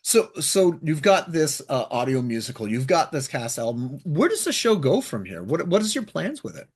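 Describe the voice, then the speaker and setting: A man hosting a casual interview, enthusiastic and effusive, with a husky voice. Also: on the high side, big pitch swings, moderate pace, darkish tone. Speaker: interviewer, host.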